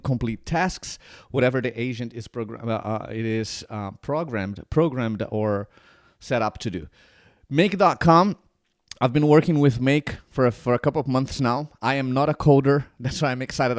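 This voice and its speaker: Brazilian-accented man, forties, rambling, dry close sound, mid pitch. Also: no room sound. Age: 40s. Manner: rambling, with many restarts and repeated "right?". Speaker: channel host. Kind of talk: casual talk to camera.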